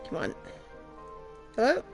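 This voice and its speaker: A woman's voice: slow pace, soft background music. A woman doing casual live game commentary as a streamer, with wry, offhand asides.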